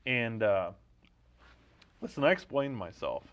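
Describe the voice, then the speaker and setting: A man hosting a comedy show with casual banter, in a hesitant, deadpan manner. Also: medium pitch, slow, vocal fry. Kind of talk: casual bantering monologue and interview. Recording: dull lo-fi recording.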